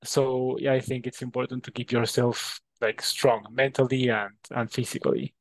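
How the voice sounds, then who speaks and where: A man from Peru with a Peruvian Spanish accent, a native Spanish speaker answering interview questions in a halting way, often repeating words. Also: mid-range voice, flat delivery, no echo, low-quality recording. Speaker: interview guest.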